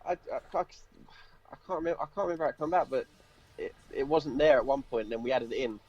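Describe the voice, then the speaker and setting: A man's voice: medium pitch, quick pace, a little mellow. A man chatting casually in a band interview, on dull lo-fi audio. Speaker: band member being interviewed.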